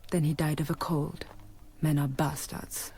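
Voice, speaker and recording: A woman's voice: deep voice, level tone, measured pace, warm tone, husky, heavily acted. An actress playing a worldly female snake dancer in a radio drama, dry, teasing and matter-of-fact. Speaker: circus performer.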